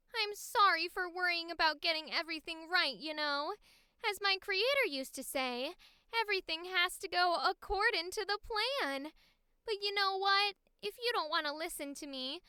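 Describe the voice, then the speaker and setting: A woman's voice: very high-pitched, pushed and bright, no room sound, clean studio sound, voice pushed up, heavily acted. A woman voicing a young female artificial intelligence actress from the late nineties, a dramatic perfectionist, in an animation audition read. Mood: huffy. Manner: dramatic, perfectionist, pleading then huffy.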